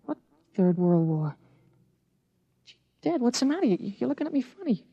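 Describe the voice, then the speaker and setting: A woman's voice: on the high side, very animated, moderate pace, highs cut off, background noise. A woman voicing a carefree, puzzled thirteen-year-old boy with a boyish, guileless manner in a 1950s radio drama.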